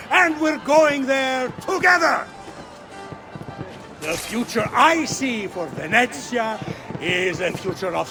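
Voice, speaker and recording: An actor playing a pompous Venetian ruler with an Italian accent, giving a grandiose theatrical speech. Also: male voice, extremely high pitch, heavily acted. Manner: grandiose, declamatory. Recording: loud music behind the voice.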